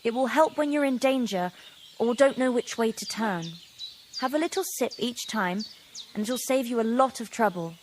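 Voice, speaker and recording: A woman's voice: a little high, moderate pace, slight background noise, lightly acted. An actress playing a curious, kind young village woman with frank, folksy speech, in a game cutscene. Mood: warm, grateful. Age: young adult. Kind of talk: video game cutscene acting.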